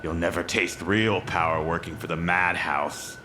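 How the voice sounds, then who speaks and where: An actor playing a menacing, cruel and unhinged villain in an audio drama, with a halting, obsessive way of repeating himself. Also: male voice, low-pitched, fairly even intonation, lightly acted. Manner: halting, obsessive repetition of words. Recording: clear background noise.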